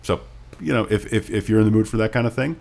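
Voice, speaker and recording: A man with a notably low-pitched voice speaks as a podcast co-host. He is opinionated and wry, chatting casually and dropping in profanity as he reviews what he reads. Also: quick pace, creaky, a little mellow. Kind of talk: casual book review chat.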